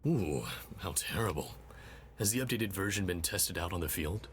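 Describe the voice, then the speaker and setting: An actor playing a smooth, courteous but condescending company executive in an audio drama, with a suave, patronizing, unhurried manner. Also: male voice, low voice, animated. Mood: composed, courteous. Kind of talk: scripted audio drama acting. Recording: clearly noisy background ambience.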